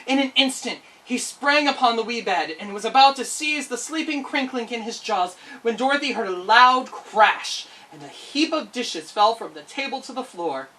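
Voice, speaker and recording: Woman reading a kids story, really animated, bright voice, bit far from the mic. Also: fairly high pitch, normal speed, few pauses. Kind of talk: expressive children's storybook narration.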